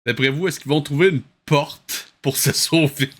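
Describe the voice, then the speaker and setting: Bright-voiced, exasperated Québécois man. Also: on the high side, moderate pace. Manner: incredulous, exasperated rhetorical questions. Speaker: host reviewing a cartoon. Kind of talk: comic reaction commentary.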